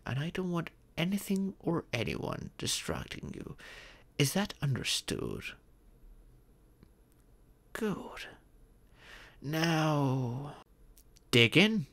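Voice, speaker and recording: A man's voice: a little high, animated, extremely slow, vocal fry, pauses often, heavily acted. A man voicing an ancient male dragon, a former king and doting but stern father, speaking formally and patiently in an ASMR roleplay. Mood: fond, firm, then patient, amused. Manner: formal, patient, fond, instructive. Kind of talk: ASMR roleplay, scripted monologue.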